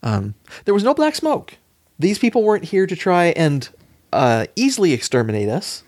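A man's voice: sits high, wildly swooping pitch, normal speed, darkish tone, no echo, studio quality. A man talking casually as a panelist in a discussion about films. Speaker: podcast panelist.

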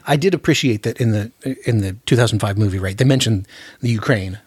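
A man's voice: fairly low pitch, fast, breathy edge, no room sound. A man hosting a podcast in casual, conversational discussion. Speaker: podcast host.

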